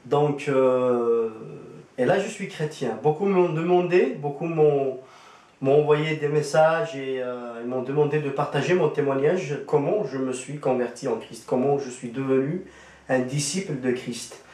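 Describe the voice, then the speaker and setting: A man, a Kabyle speaker, talks through his testimony in a halting, casual way, pausing often on fillers. His voice sits at an ordinary middle pitch. It sounds roomy and echoey, picked up from some distance. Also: a little mellow. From Algeria. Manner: hesitant, many fillers and restarts. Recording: roomy echo, distant from the mic.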